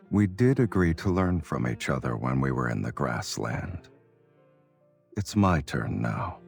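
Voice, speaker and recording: A suave romantic lead from a romance game, with an extremely low voice. He is confident, affectionate and teasing, talking intimately and without hurry over faint music. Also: vocal fry, slightly rough, full character voice. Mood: tender, inviting. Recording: music behind the voice.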